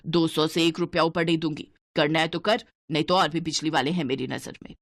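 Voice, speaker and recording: A woman's voice: low-pitched, fairly even intonation, brisk, no echo, studio quality. A woman voicing a stingy, scolding older mother-in-law in a cartoon story. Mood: scheming. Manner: bossy, penny-pinching, sharp-tongued.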